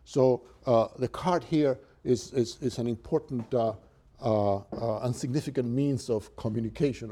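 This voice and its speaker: Man, Cuban accent, lecturer, mellow voice, hesitant, lots of uhs. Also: mid-range voice. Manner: halting, with many filler sounds. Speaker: literature lecturer. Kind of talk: university lecture.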